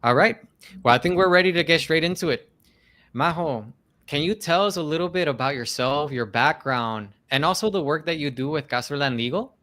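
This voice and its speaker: A man's voice: a little high, animated, fairly quick, a bit bright. A man hosting a business podcast, reading a formal, polite introduction and then interviewing his guest. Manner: formal, polite, reads from notes. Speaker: podcast host.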